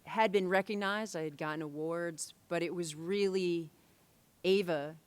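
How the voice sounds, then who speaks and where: Low smooth-voiced woman director on a panel. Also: a little slow. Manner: thoughtful, many fillers and restarts. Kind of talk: panel discussion answers. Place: convention panel hall.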